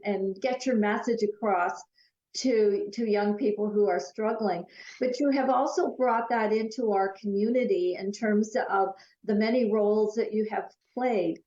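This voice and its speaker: A woman hosting a TV interview, asking measured, leading questions. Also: mid-range voice, a little mellow. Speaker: TV show host. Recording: roomy, slightly dull studio sound.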